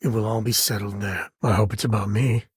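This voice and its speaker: An actor playing a rugged space-ranger father in a video game, with a husky, raspy voice and a wry, earnest, conversational manner. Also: fairly low pitch, little pitch movement, a little fast, no echo, studio quality. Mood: wry, steady. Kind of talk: video game character voice lines.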